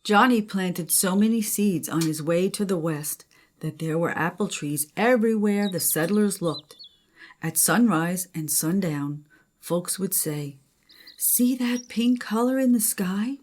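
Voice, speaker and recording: A woman's voice: fairly low pitch, a little slow, a little mellow, slight background noise. An older woman, a grandmother, reading a children's storybook aloud.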